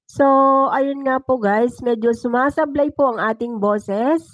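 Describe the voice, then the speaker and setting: A woman's voice: on the high side, unhurried, dry recording, rough recording. A woman with a Filipino accent, working as a storyteller and host on a livestream. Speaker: storyteller and live-streaming channel host. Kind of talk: dramatic first-person story narration; casual livestream chat with viewers.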